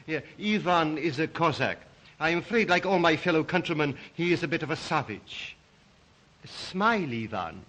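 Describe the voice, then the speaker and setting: An actor playing a courtly, sinister aristocratic Cossack count, with a Russian accent and a formal, ingratiating manner in an old-fashioned drama. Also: male voice, fairly high pitch, expressive, measured pace, sharp tone, full character voice. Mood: suave, amused.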